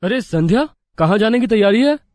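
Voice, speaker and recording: Cartoon henpecked husband, acted by a man, young, very high male pitch, exasperated, dry. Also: expressive, fairly quick, a little mellow, no room sound. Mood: puzzled, pleading.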